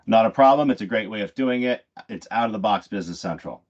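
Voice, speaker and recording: A man giving a live software demo in an explanatory, conversational way, often pausing mid-phrase. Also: fairly low pitch. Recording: dull, muffled lo-fi audio.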